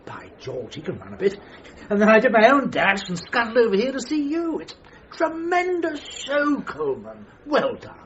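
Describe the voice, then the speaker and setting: A man playing a breezy, condescending upper-class English gentleman in a radio drama, with a jovial, exclamatory manner. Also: very high voice, huge pitch swings, normal speed, slight background noise, full character voice. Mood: hearty, jovial. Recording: muffled radio drama with music behind.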